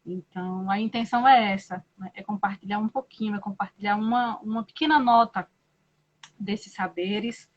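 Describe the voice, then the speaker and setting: A Brazilian woman hosts a live-stream conversation in an even mid-range voice, speaking slowly and reflectively with frequent hesitations. Her audio comes through muffled and lo-fi. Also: mid-range voice. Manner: slow, thoughtful, frequent "né?" and hesitations. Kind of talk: casual live-stream interview.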